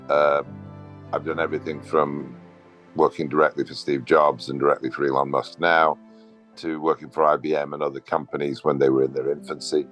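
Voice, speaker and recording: A man's voice: rumbling low, a bit bright. A man in his sixties introducing himself on a video call, in a rambling, earnest way, with spiritual phrasing. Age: 60s. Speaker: meetup participant, systems designer.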